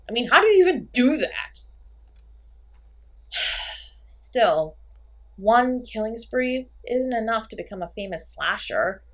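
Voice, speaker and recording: Female comedy-horror slasher, acted by a woman: highish, wide-swinging pitch, theatrical, exasperated, flipping from sulky to manic. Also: sharp tone, full character voice. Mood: self-pitying. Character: failed slasher killer, woman, melodramatic, insecure, petulant, comically chatty. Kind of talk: comedic horror audio roleplay, acted monologue to listener.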